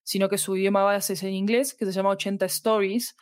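A woman's voice: mid-range voice, flat delivery, a little fast, dry recording, clean studio sound. A woman explains her work conversationally in an interview, reflectively searching for precise words. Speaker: podcast fiction producer, interview guest.

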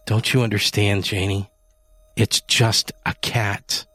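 A man doing a coldly calm husband. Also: fairly low pitch, slow pace, slightly rough, no echo, heavily acted. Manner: calm, quietly firm. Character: calm, cold husband set on harming a cat.

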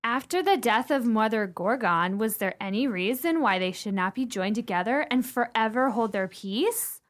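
An actress playing an opinionated, gossipy wife in a radio play, with a pointed, theatrical delivery. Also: female voice, a little high, lively intonation, normal speed, close to an everyday voice. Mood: curious. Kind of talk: radio drama dialogue.

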